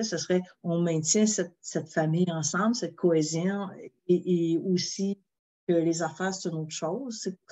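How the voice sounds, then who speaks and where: A woman host with a Québécois accent, interviewing a guest in a chatty way with frequent fillers. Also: lowish voice. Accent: Québécois French. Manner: warm-hearted, chatty, many fillers. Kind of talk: interview chat.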